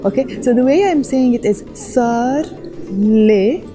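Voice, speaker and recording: A woman in her thirties gives a casual vlog language lesson into an earphone mic, her voice pitched a little high. She talks softly and a touch shyly while walking through a station and out onto a city street. Music plays clearly behind her. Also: expressive, normal speed. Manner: soft-spoken and shy, then louder and brighter outdoors. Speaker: language teacher. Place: train station, then busy city street outdoors. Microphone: earphone headset mic.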